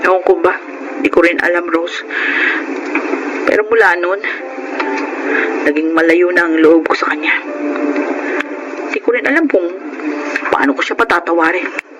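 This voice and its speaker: An actress playing a hot-tempered, resentful grown daughter in a Filipino radio drama, arguing and accusing. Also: female voice, on the low side, normal speed, long pauses, over music, natural voice, poor audio. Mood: hurt, bitter. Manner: accusing, argumentative.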